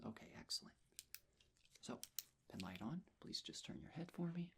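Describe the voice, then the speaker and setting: A man speaking softly and breathily, often in a whisper, gently reassuring the listener as a nurse in an ASMR medical roleplay. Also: on the high side, big pitch swings. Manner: gentle, reassuring, unhurried personal attention. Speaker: ASMR performer playing a nurse. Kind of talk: soft-spoken ASMR medical check-up roleplay.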